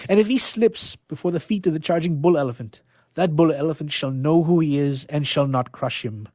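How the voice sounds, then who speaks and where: Man performing a proud elephant chief, loudly proclaiming, high-pitched. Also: brisk, no room sound, clean studio sound, natural voice. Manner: grand, declamatory. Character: proud old elephant driver chief proclaiming to his men. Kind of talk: dramatic story reading.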